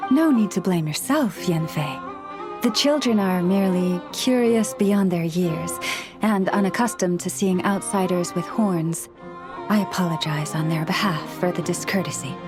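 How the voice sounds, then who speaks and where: A woman's voice: fairly low pitch, lightly acted. A woman as a serene, gracious moon priestess. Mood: calm, apologetic. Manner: formal, measured, polite. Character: gentle, gracious young female moon priestess, serenely courteous. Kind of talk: video game voice acting. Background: background music.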